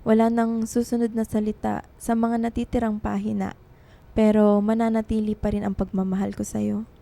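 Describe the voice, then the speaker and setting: A woman playing a soft, loving young writer in grief in a radio drama. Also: medium pitch, level tone, moderate pace, creaky, close to an everyday voice. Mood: sorrowful, loving. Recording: music behind the voice.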